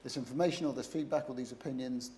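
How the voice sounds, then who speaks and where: A man's voice: medium pitch, fast, mellow, a little hiss or hum. Upbeat man moderating a panel. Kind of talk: hosting a conference panel. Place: conference hall.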